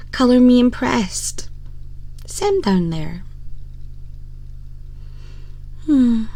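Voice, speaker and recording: A woman playing a haughty, mocking empress in an ASMR roleplay, with imperious, condescending and theatrically formal delivery, close on a binaural microphone. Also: mid-range voice. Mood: commanding. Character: haughty, cruel, mocking empress toying with a prisoner. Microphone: close binaural microphone, dry.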